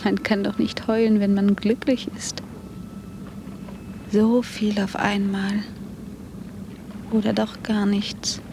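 A woman's voice: mid-range voice, flat delivery, slow pace, long pauses. An actress playing a dreamy, bewildered, melancholy young woman in a German radio drama. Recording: clear background noise on radio play.

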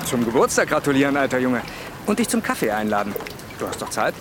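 A man's voice: medium pitch, very animated, fast, background noise, lightly acted. A man acting a chummy, wheedling journalist and old friend in a German period crime radio drama. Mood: teasing, chummy. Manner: chatty, teasing.

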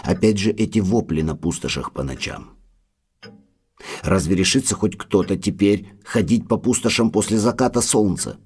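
An actor playing a deferential, uneasy manor butler in an audio drama, grave and full of foreboding. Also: male voice, lowish voice, moderate pace, a little mellow, husky. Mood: anxious, foreboding.

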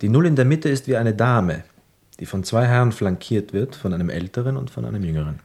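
An actor playing a reflective, weary homecoming soldier in a radio play, with measured, lyrical phrasing. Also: male voice, lowish voice, animated, creaky, darkish tone, husky, no room sound, exaggerated voice. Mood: polite, whimsical. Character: weary young war returnee, reflective, dreamy wanderer. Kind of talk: literary radio play monologue and dialogue.

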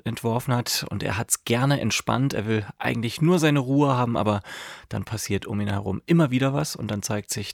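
A man with a husky voice presenting a scripted introduction on the radio, close to a studio microphone. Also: lowish voice, no echo.

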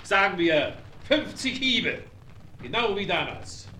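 A man's voice: high voice, sing-song, takes it slowly, sharp tone, echoey room, distant mic, a little hiss or hum, exaggerated voice. A man playing a cruel, gloating outlaw leader, sneering and giving orders in a radio play. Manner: sneering, commanding. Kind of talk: 1970s radio play acting.

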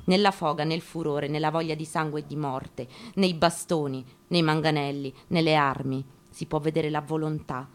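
Low female voice, dramatic radio theatre reading, solemn n declamatory, goes phrase by phrase. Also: steady tone, moderate pace, few pauses. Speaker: actress reading on stage.

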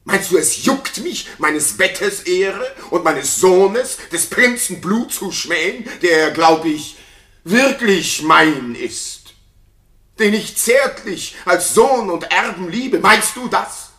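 A man's voice: sits high, animated, takes it slowly, heavily acted. An actor playing a jealous, suspicious king in a classical radio play, declaiming theatrical verse with intensity. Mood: outraged. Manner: intense, declamatory, swinging from hushed to frenzied. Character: jealous, suspicious king raging at his supposedly unfaithful wife. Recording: roomy studio reverb.

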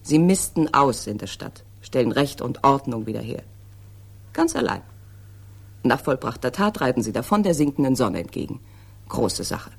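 Husky, teasing young woman. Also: very deep voice, big pitch swings, normal speed, heavily acted. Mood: mocking. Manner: mocking, cool, probing. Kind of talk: 1960s German radio crime drama acting.

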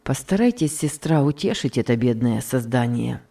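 A woman voicing a grieving gentleman father who speaks low and heavy. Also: sing-song, normal speed, creaky, full character voice. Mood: mournful. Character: grieving gentleman father, stern yet broken.

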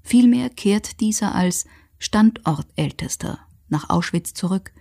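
Dark, creaky-voiced woman narrating soberly. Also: mid-range voice, lively intonation. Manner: sober, factual, measured. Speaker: documentary narrator. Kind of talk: scripted narration of a historical radio feature.

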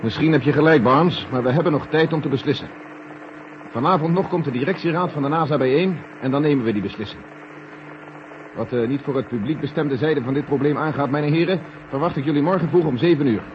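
An actor playing an authoritative, deliberate space agency general in a 1970 Dutch radio drama. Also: male voice, on the high side, lightly acted. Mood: decisive. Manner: deliberate, commanding. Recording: dull, hissy 1970 radio recording.

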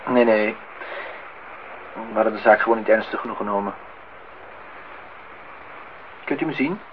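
Man acting reflective disillusioned man, lowish voice, measured phrasing, radio drama, muffled vintage recording. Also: close to an everyday voice. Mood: quiet, guarded. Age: 30s. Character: disillusioned, reflective man musing on truth and love. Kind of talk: Dutch radio play acting. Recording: dull, noisy old radio play recording.

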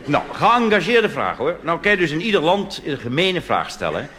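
A man's voice: on the high side, big pitch swings, normal speed, sharp tone. A man from the Netherlands performing a wry, mocking cabaret monologue on stage, now and then slipping into quoted voices. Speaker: cabaret comedian. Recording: live stage recording with audience and hiss. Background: audience laughter.

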